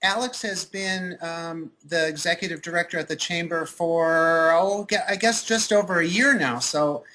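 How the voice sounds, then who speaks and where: Man co-host, headset mic, bright tone, easygoing and measured. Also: fairly high pitch, slight background noise, poor audio. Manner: relaxed, measured, many uhs. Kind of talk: casual interview on a video call.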